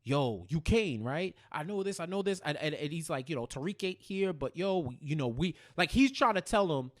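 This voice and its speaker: An American man with a fairly high voice chats as a podcast co-host. He talks in an animated, slangy way, repeating phrases for emphasis and slipping into quoted dialogue as he recaps TV drama. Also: normal speed. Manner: acts out characters' lines in slangy speech, repeating phrases for emphasis. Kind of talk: casual, animated TV recap chat.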